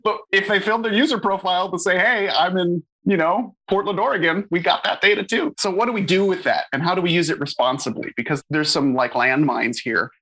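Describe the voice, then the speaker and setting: A man's voice: high voice, brisk, hardly any pauses, bright, forceful tone. A man on a conversational panel, explaining his association's work casually with frequent fillers. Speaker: open source association staff member.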